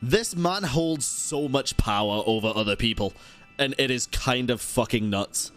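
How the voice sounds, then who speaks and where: A man's voice: mid-range voice, measured pace, fairly bright tone, soft background music. A man casually reacting to a game on a live stream, joking, exclaiming and swearing.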